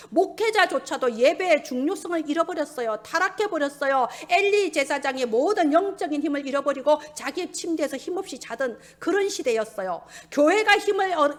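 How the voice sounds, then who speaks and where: A woman preaches in a high-pitched voice. Her delivery is emphatic and urgent, as she exhorts listeners with Bible stories and presses them with rhetorical questions.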